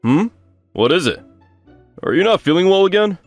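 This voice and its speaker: Man voicing a casual schoolteacher, very lively intonation. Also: high-pitched, normal speed, dry recording, soft background music. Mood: mild concern. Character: male schoolteacher, casual and mildly concerned. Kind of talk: manga dub dialogue.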